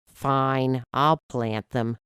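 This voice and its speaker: A woman's voice: very deep voice, fairly even intonation, extremely slow, fairly bright tone, clear, resonant tone, dry recording, clean studio sound, heavily acted. A woman voicing a young schoolboy, glum but curious and eager, in a storybook reading.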